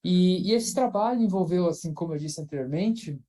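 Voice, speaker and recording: A man speaks in a relaxed, mellow voice. He is an invited researcher giving an informal academic talk over a video call. He thinks aloud, padding his sentences with fillers and restarting them. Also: high voice, normal speed.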